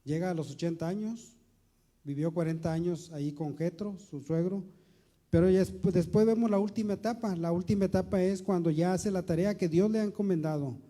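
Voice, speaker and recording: High-voiced, dark-toned male pastor preaching. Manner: preaching with slow, emphatic stretches and rising emphasis; repeats words while searching. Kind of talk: sermon.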